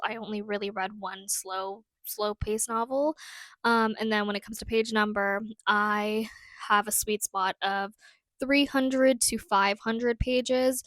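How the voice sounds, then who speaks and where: A woman's voice: mid-range voice, no echo. Young woman w a cold chatting about books, lots of ums, mid voice, dead dry mic. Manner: chatty, relaxed, many fillers. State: fighting a cold. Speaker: book vlogger. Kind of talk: casual reading recap vlog.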